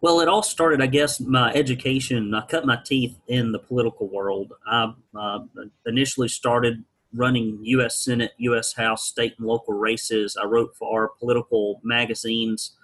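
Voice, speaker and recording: A man's voice: medium pitch, steady tone, moderate pace, fairly bright tone, poor audio. A man from rural Alabama, telling his story as an interview guest with wry, folksy, blunt humor. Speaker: guest, business founder.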